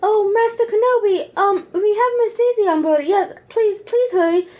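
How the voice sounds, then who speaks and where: A man voicing a fussy, anxious, polite robot servant in a parody audio play, extremely high in pitch. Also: moderate pace, a little mellow.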